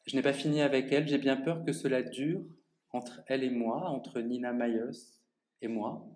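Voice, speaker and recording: A man reading his own first-person monologue aloud at a public reading in an art gallery, speaking to the audience in a measured, theatrical way. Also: medium pitch, fairly even intonation, a little mellow. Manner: measured, theatrical delivery addressing the audience directly. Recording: echoey gallery room.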